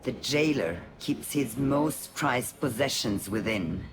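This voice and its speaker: Slow, sly otherworldly broker. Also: male voice, mid-range voice, lively intonation. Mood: cool, pragmatic. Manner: slow, formal, coolly condescending. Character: sly, secretive otherworldly broker, haughty and calculating. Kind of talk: video game character dialogue. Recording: game audio with background ambience noise.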